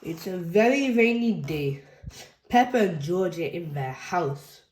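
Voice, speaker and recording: A woman reading a children's picture book aloud in a slow, expressive storytelling manner. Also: fairly low pitch. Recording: echoey room.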